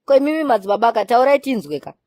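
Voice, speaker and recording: Snobbish youngster, dry sound. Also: female voice, a little high, very animated, racing, darkish tone, no echo. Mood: haughty. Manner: haughty, mixing English and Shona. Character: snobbish, swaggering youngster who disdains township life.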